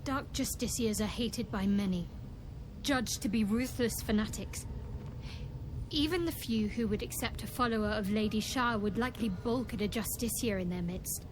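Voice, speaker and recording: An actress playing a guarded, devout cleric in a fantasy video game, speaking in a measured, confiding and solemn way. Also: female voice, medium pitch, noisy background, natural voice. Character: guarded, devout female cleric companion, secretive and earnest.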